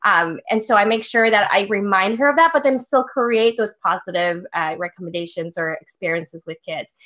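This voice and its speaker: A woman's voice: mid-range voice, normal speed, a bit bright. A woman on a live panel who is a parent, explaining her home language rules firmly and drawing on her own family. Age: adult parent.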